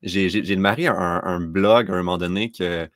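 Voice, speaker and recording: A man from Quebec with a Québécois French accent, a guest on a hockey podcast who talks in a relaxed way, tagging his sentences with "là". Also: deep voice, brisk, no room sound, studio quality. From Quebec, Canada. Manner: relaxed, fills with "là" and "tu sais". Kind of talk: casual interview talk.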